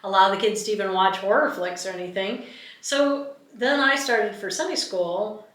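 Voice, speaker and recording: Middle-aged female podcast co-host, bright forceful voice, casual reminiscing delivery, recorded in an echoey space away from the mic. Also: mid-range voice, normal speed. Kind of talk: casual faith discussion. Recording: roomy echo, distant from the mic.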